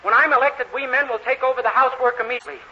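An American man playing a naive, eager, dim-witted young man in an earnest, excitable 1940s radio comedy style. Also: very high-pitched, very fast, full character voice. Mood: pleased, bragging. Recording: hissy, muffled old radio recording.

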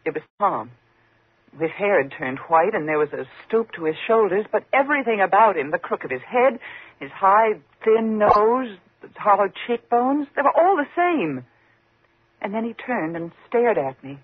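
A woman's voice: medium pitch, big pitch swings, moderate pace, slightly rough, dry recording, narrow, tinny audio. An actress playing a frightened single woman in a 1950s radio suspense drama, tense and dramatic in her delivery. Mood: haunted, dread. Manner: tense, dramatic, faltering when flustered.

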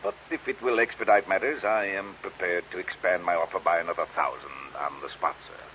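A man's voice: deep voice, brisk, close to an everyday voice. An actor playing a pompous, falsely courteous male villain with florid, formal phrasing in an old radio drama. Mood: grandiose, persuasive. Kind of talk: 1950s radio drama acting. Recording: hissy, dull old radio recording.

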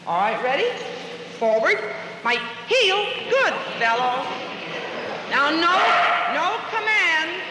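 A woman's voice: high-pitched, noisy background. Commanding woman instructor in an echoey hall. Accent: American. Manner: brisk, commanding. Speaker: dog obedience instructor. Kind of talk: instructing a class, calling out commands. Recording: echoey hall, old radio field recording.

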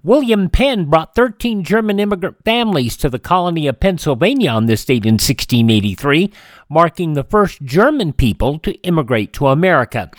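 A man's voice: on the high side, no echo, studio quality. A man hosting a radio show, reading scripted notes on history.

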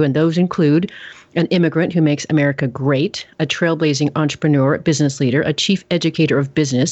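A woman's voice: low voice, a little mellow. Casual: lady interviewer hyping up her guest, all gushy, kinda muffled audio. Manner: gushing, effusive praise. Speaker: podcast host interviewer. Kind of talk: enthusiastic interview.

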